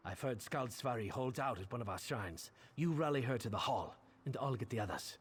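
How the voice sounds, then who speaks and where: A man's voice: mid-range voice, expressive, fairly quick. A man playing a commanding, determined warrior leader in a fantasy video game. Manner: commanding, resolute. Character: gruff, determined Nord warrior leader rallying his troops. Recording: clearly noisy game audio with ambience.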